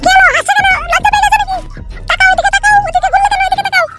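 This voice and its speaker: Man as a squeaky, extremely high cartoon girl. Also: little pitch movement, rapid-fire, music in the background. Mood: teasing. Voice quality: squeaky, artificially thin.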